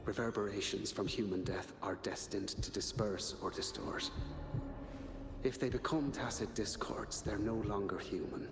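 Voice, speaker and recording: An actor playing a grave, philosophical man in a video game, breathy, measured and weary. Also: lowish voice, natural voice. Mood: grave warning. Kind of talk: dramatic video game voice acting. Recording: echoey hall reverb with music.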